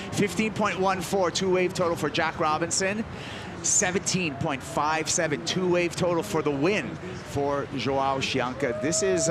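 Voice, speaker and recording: A man doing play-by-play commentary on a live surf contest, announcing with excitement and emphasis. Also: fairly high pitch. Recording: loud crowd and outdoor noise.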